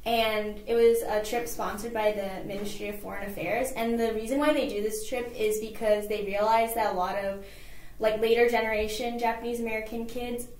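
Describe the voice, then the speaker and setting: A woman's voice: on the high side, steady tone, moderate pace, darkish tone, echoey room. A young woman from California, a guest on an interview show, talking casually and filling her answers with many "like"s and fillers.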